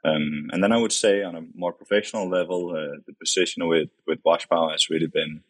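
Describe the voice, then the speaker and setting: Deep-voiced young Danish man. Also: steady tone, fairly quick, rough recording. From Denmark, grew up in Copenhagen. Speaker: podcast guest, company vice president. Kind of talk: casual interview conversation.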